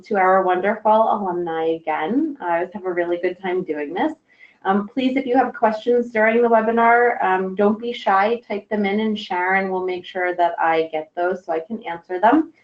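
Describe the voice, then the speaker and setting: A woman career counselor presenting a webinar, slightly hoarse, friendly, with frequent ums. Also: medium pitch, roomy sound, lo-fi sound. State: a little hoarse. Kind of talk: presentation lecture.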